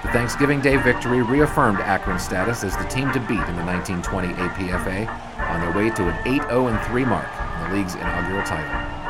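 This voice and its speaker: A man playing a 1920s sports writer, giving measured, literary narration of old games in an audio drama. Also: low voice, close to an everyday voice, low-quality recording. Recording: loud music behind voice.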